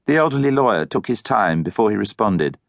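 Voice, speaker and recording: A man's voice: lowish voice, lively intonation, brisk, creaky, dry recording, clean studio sound. A man reading a novel as an audiobook narrator, in scripted literary prose.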